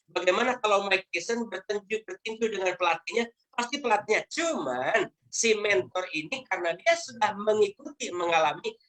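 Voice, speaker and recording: A woman's voice: mid-range voice, fairly bright tone, low-quality recording. A woman leading a motivational network marketing training over a video call, speaking emphatically in a lecturing manner and posing rhetorical questions.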